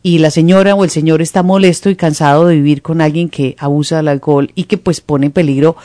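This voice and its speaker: A host with a mid-range voice talks casually and stops to spell out numbers slowly for the audience. The recording is dry and close-miked, with no reverb. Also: medium pitch, steady tone, mellow. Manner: chatty, dictates phone numbers slowly. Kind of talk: conversational interview hosting.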